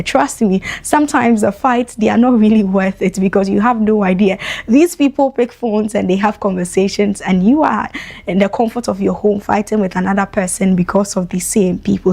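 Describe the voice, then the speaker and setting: A woman's voice: mid-range voice, darkish tone. Ghanaian lady reading showbiz news on a morning show, chatty, talks right to the fans. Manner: chatty, addresses fans directly. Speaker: entertainment news host. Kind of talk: breakfast show news presenting.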